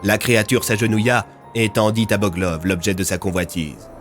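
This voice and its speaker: A man's voice: lowish voice, moderate pace, over music. A man narrating a scripted audio fiction as its storyteller.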